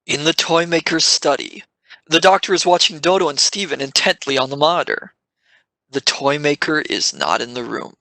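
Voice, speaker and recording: A male narrator reads scene descriptions for an audio play. His voice is fairly high for a man, crisp and forceful, with a dry sound. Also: lively intonation, normal speed, no echo, low-quality recording. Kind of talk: scripted narration of an audio drama.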